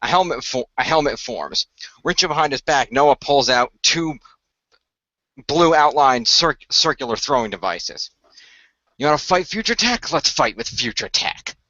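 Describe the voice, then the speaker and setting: A man with an animated, forceful voice narrates an improvised radio play, slipping between description and character lines. The sound is dry and a little dull. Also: medium pitch, normal speed, no room sound.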